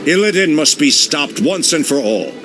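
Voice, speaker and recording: An actor playing an ancient, noble male night elf druid in a video game, gravelly, solemn and theatrical. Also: on the high side, very animated, moderate pace, noisy background. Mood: grimly resolved. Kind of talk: dramatic video game voice acting. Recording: dramatic game score underneath.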